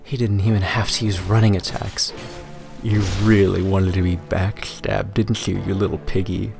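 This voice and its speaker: A man's voice: low voice, little pitch movement, moderate pace, creaky, over music. A man playing a smug, taunting villainous gamer rival in a story reading, sly and goading.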